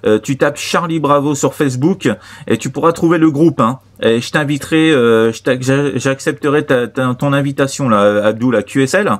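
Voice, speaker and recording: A man chatting casually as a CB radio operator, halting and repetitive, with many short verbal tags. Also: mid-range voice. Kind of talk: casual radio contact chat.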